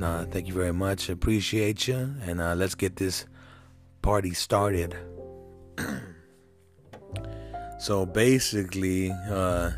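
A man in his thirties with a Mexican American accent, hosting a casual solo talk show, rambling with many fillers and restarts. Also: sits low. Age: 30s. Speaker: podcast host.

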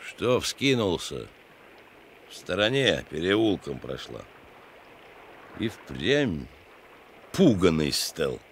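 An actor playing a menacing, hardened criminal killer in a radio drama, sneering and threatening. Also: male voice, deep voice, very animated, takes it slowly, long pauses, a little hiss or hum, exaggerated voice.

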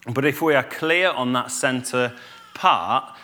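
A man, giving a calm, conversational church talk with fillers and restarts. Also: medium pitch, slow. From Yorkshire, England. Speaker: church speaker.

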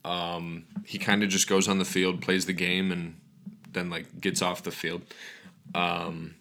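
A young man talks through his week on a solo podcast, his voice still groggy from just waking up. His voice sits very low yet sounds bright and forward. He rambles freely, filling pauses with ums and restarting his sentences. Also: level tone. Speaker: podcast host. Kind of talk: casual solo podcast talk.

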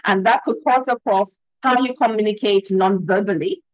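A woman with a Nigerian accent makes her points with earnest emphasis and checks in with a quick "yeah?" as she goes. Her voice sits at a middling pitch. It comes through a video call and sounds dry and a little muffled. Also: dry recording. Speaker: communication expert guest. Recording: dull, slightly lo-fi dry sound.

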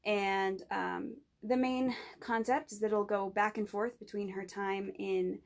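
Young woman with heavy vocal fry. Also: medium pitch, fairly even intonation, unhurried. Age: young adult. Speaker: student podcast guest pitching a project. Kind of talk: informal spoken pitch in an interview.

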